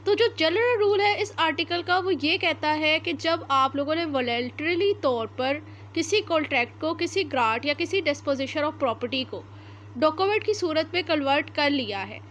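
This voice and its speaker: Woman, Pakistani accent, high voice, methodical lecturer, explanatory delivery, code-switches into English, slight background noise. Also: expressive, a bit bright. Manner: methodical, reads formal text slowly then explains it point by point; mixes English terms into Urdu. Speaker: law lecturer. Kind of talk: explanatory exam-prep law lecture.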